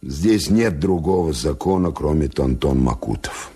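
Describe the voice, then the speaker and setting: An actor in a radio drama playing a grave, dignified doctor who speaks in a measured, courteous, weighty way. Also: male voice, very deep voice, animated, dark tone, slightly rough, full character voice. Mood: somber, ironic. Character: grave, dignified Haitian doctor, a disillusioned believer in communism. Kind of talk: Soviet radio drama acting.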